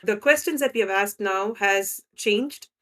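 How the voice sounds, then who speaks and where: A woman's voice: medium pitch, unhurried, a bit bright. A woman from India with an Indian accent, a guest scientist explaining her work in a conversational interview, often checking in with "right?". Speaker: guest scientist in drug development.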